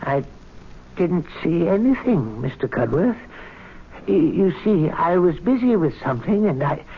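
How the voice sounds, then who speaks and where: Slow, high-voiced meek old servant. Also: male voice, sing-song, breathy edge, heavily acted. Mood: timid, uneasy. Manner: hesitant, deferential. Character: meek old club servant, deferential, nervous, stubbornly honest. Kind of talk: old-time radio drama acting. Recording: dull hissy vintage radio recording.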